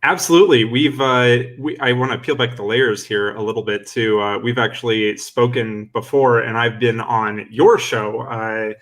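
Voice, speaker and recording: A man's voice: medium pitch, steady tone, moderate pace, hardly any pauses, a bit bright. A young adult man hosting a live-streamed interview webinar over a video call, enthusiastic and full of fillers and restarts. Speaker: webinar host.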